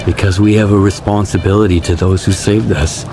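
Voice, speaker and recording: An actor playing a resolute, stoic male loner in a video game cutscene, with a terse, grave, measured delivery. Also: on the low side, steady tone, over music, close to an everyday voice. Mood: grave, resolved. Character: resolute, stoic male lone stalker of the wasteland.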